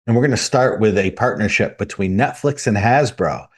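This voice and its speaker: A man's voice: on the low side, moderate pace, breathy edge. A man co-hosting a tabletop gaming podcast, explaining game-running tips and reading out news items. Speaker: podcast co-host. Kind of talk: casual podcast discussion.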